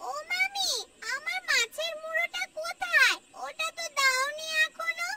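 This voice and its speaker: Very high-pitched, bossy cartoon village female, acted out. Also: measured pace, sharp tone, heavily acted. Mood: greedy, eager. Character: bossy, food-loving village women and girl, very high-voiced. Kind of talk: Bengali cartoon dubbing. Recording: distant voice under clear music.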